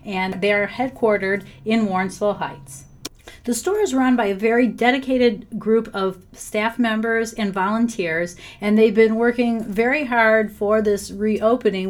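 A slightly hoarse woman hosts a podcast with upbeat enthusiasm. She moves from a scripted introduction to friendly interview questions, speaking at a mid pitch. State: hoarse from laryngitis. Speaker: podcast host.

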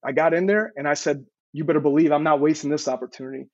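A man's voice: fairly high pitch, fast, a little mellow, no room sound, highs cut off. A man in his thirties, born in Serbia, telling his story as an interview guest in an earnest way, stumbling and repeating words. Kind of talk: casual interview.

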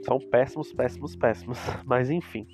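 A man's voice: medium pitch, steady tone, moderate pace, a little mellow. A man with a Brazilian Portuguese accent and a stuffy nose from a cold, chatting casually and rambling to his viewers about reselling cosmetics. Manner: chatty, rambling, with asides to the audience. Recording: music playing in the background.

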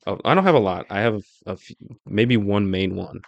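A young man hosts a podcast in a relaxed, easygoing way, laughing often and restarting his phrases. His voice sits fairly low. The sound is dry and close on a podcast mic. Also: a little fast, creaky, no echo, muffled recording. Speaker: podcast host. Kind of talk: casual podcast chat.

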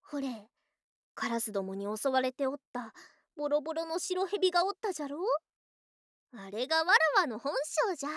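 High-pitched haughty snake-spirit girl. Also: lively intonation, moderate pace, fairly bright tone, no room sound, studio quality. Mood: proud, explaining. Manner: archaic haughty old-fashioned speech, theatrical. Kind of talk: Japanese ASMR situation voice drama.

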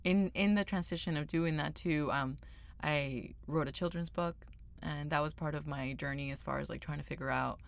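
A young woman from Venezuela co-hosting a casual podcast chat, laughing often and pausing with lots of ums. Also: sits low, vocal fry. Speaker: podcast co-host. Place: California.

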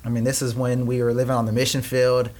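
A man's voice: medium pitch, completely flat delivery, a little mellow, slight background noise. A young man from Peru, a company co-founder, chatting as an interview guest and telling family stories with lots of fillers. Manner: chatty storyteller, quick bursts with frequent fillers. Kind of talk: casual interview chat.

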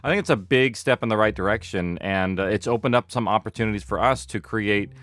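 A man's voice: on the low side, a little fast, fairly bright tone. A man speaking as an interview guest, chatting casually and explaining things through anecdotes. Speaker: interview guest, audio fiction producer.

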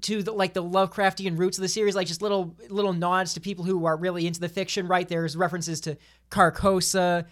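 A man's voice: sits high, flat delivery, fast talker, fairly bright tone. A man in casual podcast discussion, speaking enthusiastically and often stammering over and repeating his words.

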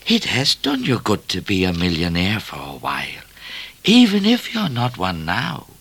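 A man voicing a sensible, gently consoling talking cat in a storybook reading. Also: fairly low pitch, wildly swooping pitch, moderate pace, breathy edge, exaggerated voice. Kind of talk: storybook character voice.

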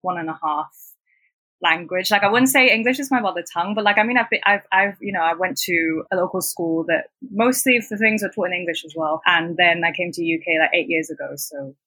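Bright, husky young woman from Hong Kong. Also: on the low side, brisk, no echo, poor audio. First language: Cantonese. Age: 20s. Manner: chatty, many "like" fillers, laughs between points. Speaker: guest. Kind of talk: casual conversational interview.

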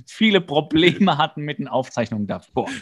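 A man with a slightly high-pitched voice co-hosts a casual podcast. He retells a TV episode with comic animation, breaking into exasperated, slangy outbursts. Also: very animated, a little fast. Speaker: podcast co-host. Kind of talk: casual comedic episode recap.

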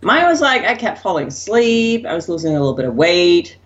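Lower-voiced Danish-raised woman, lo-fi. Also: brisk. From Denmark. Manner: relaxed, candid, chatty. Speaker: guest. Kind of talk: casual interview storytelling. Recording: dull lo-fi sound.

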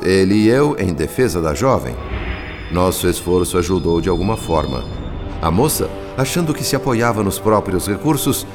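A deep-voiced Brazilian man a grave storyteller in a radio drama. He narrates solemnly and emphatically in literary phrasing. Long reverb surrounds the voice, with music underneath. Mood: dramatic, urgent. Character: grave male spirit narrator recounting events as witness.

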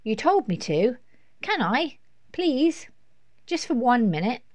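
A woman's voice: sits high, normal speed, no echo, pitched above the performer's own voice, full character voice. A woman voicing an eager, pleading young boy in a children's storybook. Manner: pleading, excitable.

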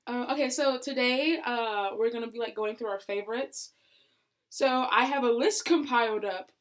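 A woman's voice: fairly high pitch, moderate pace. Hesitant eighteen-year-old girl from Georgia. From Georgia, United States. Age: teens. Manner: hesitant, many "um" and "like" fillers. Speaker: podcast co-host. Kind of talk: casual chat.

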